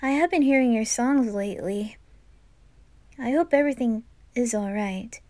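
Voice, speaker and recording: A woman voicing a sweet, caring, bashful teenage anime boy in a gentle, hesitant way for an amateur roleplay. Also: on the high side, moderate pace, close to an everyday voice.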